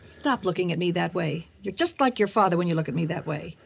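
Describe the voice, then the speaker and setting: A woman's voice: on the low side, animated, fast talker, creaky, breathy edge, heavily acted. A woman acting an anxious, guilt-ridden middle-aged widowed mother in a radio drama, pleading hesitantly and emotionally.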